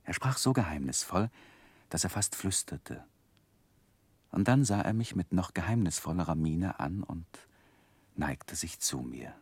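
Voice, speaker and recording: A reflective narrator looking back on boyhood in a 1960s German radio drama. The voice is low and very lively in melody, telling the story in measured literary phrases. The sound is close and dry. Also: male voice, breathy edge, no room sound, full character voice.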